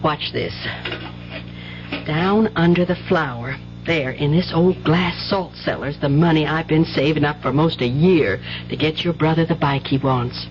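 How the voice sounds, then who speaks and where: A woman's voice: low voice, measured pace. An actress playing a weary, loving working-class mother with plain, folksy speech in a radio drama. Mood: confiding. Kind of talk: 1970s radio drama acting. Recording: dull old radio broadcast with music.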